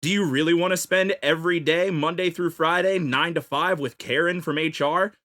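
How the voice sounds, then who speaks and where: A podcast panelist giving an improvised comic pitch, playfully salesmanlike and fond of rhetorical questions. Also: fairly even intonation, moderate pace, fairly bright tone.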